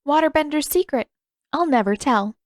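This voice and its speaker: A woman acts out a teenage waterbender girl for an audiobook story. She is playful and impatient, with a raised pitch and a teasing, pouty way of talking. The sound is dry. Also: lively intonation, moderate pace, no echo. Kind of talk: audiobook character dialogue.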